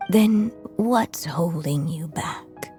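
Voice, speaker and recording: A woman voicing a gentle motherly storybook witch, a fading magical echo, speaking solemnly and tenderly in a scripted game scene. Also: lowish voice, extremely slow. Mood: tender, resigned. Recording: music behind the voice.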